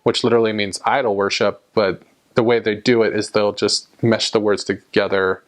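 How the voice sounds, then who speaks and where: A man's voice: fairly low pitch, little pitch movement, moderate pace. A young man casually talking to camera about his time abroad, rambling with lots of fillers and restarts. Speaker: vlogger recounting time abroad.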